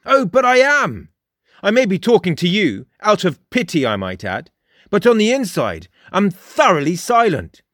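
A man's voice: sits high, very animated, moderate pace, a bit bright, no echo, studio quality, voice pushed up. A man voicing a smug, theatrical young male mystic in a storybook, declaiming with pompous emphasis. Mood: smug, grand. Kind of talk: audiobook character dialogue.

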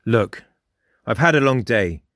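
A man's voice: medium pitch, expressive, a little slow, fairly bright tone, no echo. A man voicing a reluctant, skeptical young man in an audiobook, with a guarded, dry delivery. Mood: weary. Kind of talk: audiobook character dialogue.

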